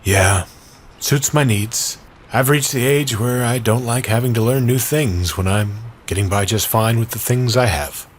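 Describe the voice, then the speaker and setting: A man's voice: medium pitch, unhurried, slight background noise, lightly acted. A man playing a kindly, worried old man in an audio drama, polite and plain-spoken. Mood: content, matter-of-fact. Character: kindly worried elderly man, stranger searching for his wife. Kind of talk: audio drama character acting.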